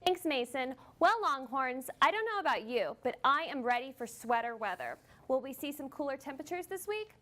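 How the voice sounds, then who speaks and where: A woman's voice: high voice, big pitch swings, fairly quick, few pauses, pushed and bright. A woman presenting a scripted weather forecast in a perky, chatty way.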